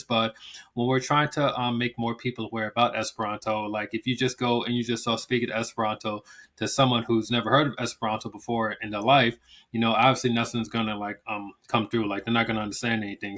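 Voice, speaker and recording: A man's voice: medium pitch, a bit bright. A man hosting his channel, explaining in a loose, rambling way with lots of fillers and restarts. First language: English. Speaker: channel host teaching language promotion. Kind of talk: casual talk-to-camera explainer.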